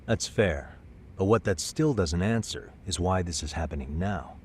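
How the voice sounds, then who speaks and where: Low-voiced, composed detective played by a man. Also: darkish tone, a little hiss or hum, close to an everyday voice. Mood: pointed, probing. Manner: measured, pointed, questioning. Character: composed, probing male private detective, adult, dryly confident. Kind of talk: English-dubbed video game cutscene acting.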